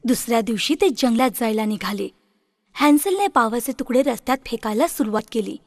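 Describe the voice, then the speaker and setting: Woman, children's story narrator, high voice, scripted delivery, very dry recording. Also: animated, a little fast, no echo.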